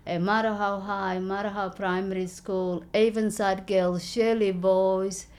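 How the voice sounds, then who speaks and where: A woman, telling her story in an interview with an earnest, halting manner and many fillers. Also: mid-range voice, flat delivery, darkish tone. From Somalia. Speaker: local election candidate.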